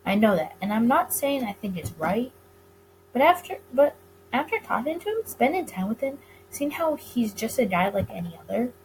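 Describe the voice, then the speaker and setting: A woman reading a visual novel aloud as dramatic narration for a gaming video, with expressive delivery. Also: fairly high pitch, normal speed, a little hiss or hum, poor audio.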